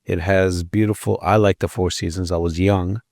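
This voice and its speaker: A man in his sixties, raised in Israel and then the American Midwest, answering interview questions in a measured, polite, modest way. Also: low-pitched, fairly even intonation, creaky, darkish tone, no room sound. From Israel, raised in Minneapolis from age twelve. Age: 60s. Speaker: architect guest. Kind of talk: interview conversation.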